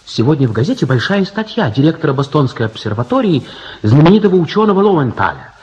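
An actor playing a polite, earnest young gentleman, explaining things apologetically in a radio play. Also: a little high, very animated, quick pace, dull audio. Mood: earnest, explanatory.

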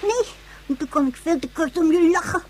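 Bratty little girl, extremely high voice, demanding, radio drama with faint noise. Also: lively intonation, fairly quick, darkish tone. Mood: brazen, demanding. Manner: scornful, demanding, blunt. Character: bratty, bossy little girl who whines to get her way. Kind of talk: Dutch science-fiction radio play acting.

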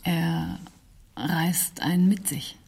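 An actress playing a wry, world-weary smuggler's lover in a radio drama, speaking in a dry, sardonic way. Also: female voice, lowish voice, steady tone, takes it slowly, creaky, darkish tone, breathy edge, full character voice. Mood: reflective, wry. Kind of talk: German radio drama dialogue.

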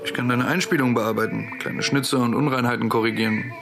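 A man's voice: medium pitch, steady tone, a little fast, creaky, music in the background, lightly acted. An actor playing a self-assured young male programmer in a radio drama, matter-of-fact and dry. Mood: confident, businesslike. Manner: matter-of-fact, cocky, explaining. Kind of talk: German radio drama acting.

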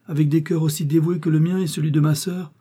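Male reader doing a fawning lovesick young suitor, gushing and theatrical, pitched up, husky tone, storybook. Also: flat delivery, quick pace, mellow. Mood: gushing, pleading.

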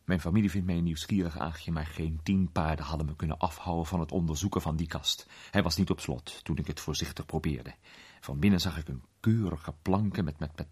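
An actor playing a curious amateur sleuth who tells a suspenseful mystery story in animated, colloquial radio drama narration. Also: male voice, extremely low pitch, slightly rough. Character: curious male amateur sleuth recounting a suspenseful night adventure.

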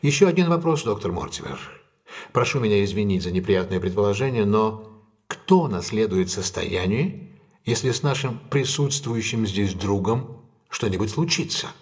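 An actor playing a composed, decisive gentleman detective in an audio drama, speaking with measured, courteous authority. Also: lowish voice, lively intonation, natural voice. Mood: probing, serious.

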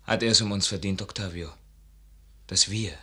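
Impassioned young officer, sharp, intense voice. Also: male voice, low-pitched, normal speed. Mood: indignant. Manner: theatrical, passionate declamation. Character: idealistic young officer son, loyal, impassioned, trusting. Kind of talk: classical German radio verse drama acting.

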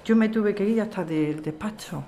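A woman's voice: medium pitch, moderate pace, mellow, natural voice. A woman acting an earnest, apologetic lawyer in an audio drama. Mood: troubled. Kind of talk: audio fiction podcast acting.

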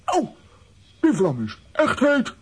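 An actor playing a bold, excitable male adventurer in a children's audio play, with a blustering manner. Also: medium pitch, takes it slowly, breathy edge, exaggerated voice.

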